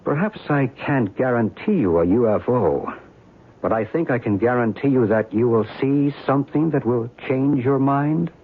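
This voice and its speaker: An actor playing a calm, knowledgeable guide to ancient mysteries, speaking in a measured, explanatory way in a radio drama. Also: male voice, mid-range voice, natural voice. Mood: calm, persuasive. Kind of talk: old-time radio drama acting. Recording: tinny, hissy band-limited radio recording.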